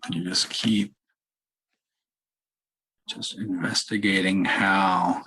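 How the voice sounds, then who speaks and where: A man leading a guided meditation in a calm, unhurried, halting way with long pauses and a husky voice. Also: low-pitched, poor audio. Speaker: meditation leader, podcast host. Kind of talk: guided meditation, softly spoken instruction.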